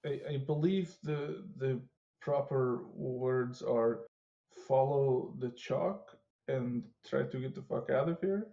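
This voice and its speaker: A man's voice: medium pitch, vocal fry, warm tone. A man playing a tabletop game, blunt and swearing often. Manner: slow, blunt, swears a lot. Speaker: player. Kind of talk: casual game chat. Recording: dull lo-fi mic.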